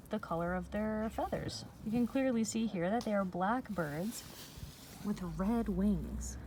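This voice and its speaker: A woman teacher reading a picture book aloud to young children, explaining in a measured way with pauses between phrases. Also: medium pitch. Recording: distant mic with faint background noise.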